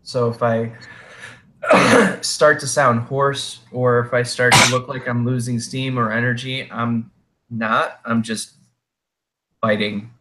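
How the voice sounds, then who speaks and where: Slow, halting game master with a sore throat. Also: male voice, mid-range voice, pushed and bright, a little hiss or hum, rough recording. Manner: halting, many fillers. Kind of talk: casual tabletop game chat.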